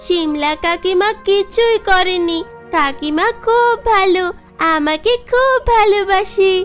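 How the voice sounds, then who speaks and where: An earnest little village girl in a cartoon, voiced by a woman, with a very high, childlike voice. She speaks plainly and eagerly, with faint children's music behind. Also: slow pace, a bit bright, exaggerated voice. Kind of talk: cartoon dubbing.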